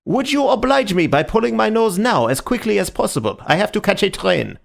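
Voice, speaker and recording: Man putting on a frantic, high-pitched nobleman. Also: sing-song, brisk, gravelly, full character voice. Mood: patient pleading. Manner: pleading, then roaring, breathless tirades. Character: flamboyant, exasperated masked male nobleman.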